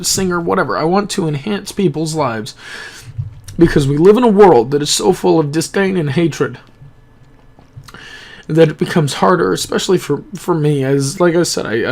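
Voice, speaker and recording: A young man talking earnestly and reflectively into his camera in a rambling personal vlog, pausing and restarting as he thinks. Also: fairly high pitch, vocal fry. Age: young adult. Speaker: gaming YouTuber. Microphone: camera-mounted microphone, close.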